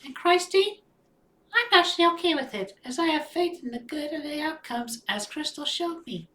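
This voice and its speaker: A woman voicing a cheerful young woman who volunteers in her community, talking in a high, quick, eager way. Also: expressive, fairly bright tone, slight background noise. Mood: earnest. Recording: echoey room.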